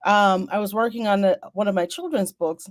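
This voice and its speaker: A woman's voice: medium pitch, expressive, fairly quick, no echo, studio quality. Jamaican woman hosting a video-call chat. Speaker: host. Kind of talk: casual interview chat. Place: home office at a desk.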